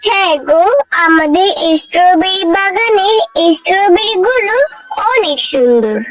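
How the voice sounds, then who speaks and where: A woman voicing a caring, indulgent village mother in a cartoon, with soothing, unhurried motherly delivery. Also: very high-pitched, expressive, no room sound. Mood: pleased. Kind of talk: cartoon dialogue. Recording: distant voice under loud music.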